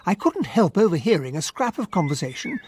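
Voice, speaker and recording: Radio drama lead: genial English officer, courteous, English accent, animated pitch movement. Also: male voice, a little fast, slight background noise. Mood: reflective narration. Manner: polite, well-spoken. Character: genial, gentlemanly English army captain narrating his memories. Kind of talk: radio drama acting and first-person narration.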